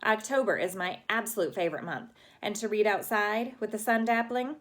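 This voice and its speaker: A woman's voice: mid-range voice, fairly quick, fairly bright tone, pitched above the performer's own voice, lightly acted. A woman voicing a dramatic, chatty red-haired girl from a storybook who talks in a gushing, flowery, theatrical way with an accent that cannot be placed. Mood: delighted. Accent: unplaceable.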